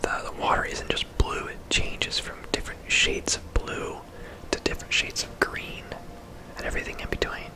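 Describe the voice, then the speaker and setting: A person whispering casual, laid-back ASMR commentary over a video game, in a breathy, unvoiced voice. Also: normal speed, poor audio. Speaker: host, gamer.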